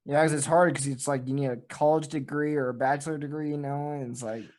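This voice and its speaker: A man's voice: a little high, steady tone, quick pace, darkish tone. A man hosting a talk show, chatting and making announcements to listeners. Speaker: podcast host.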